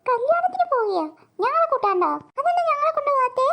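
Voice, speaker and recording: A performer voicing an excitable, whiny and playful little girl in a comedy cartoon. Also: extremely high pitch, fast, exaggerated voice. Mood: whiny, pleading.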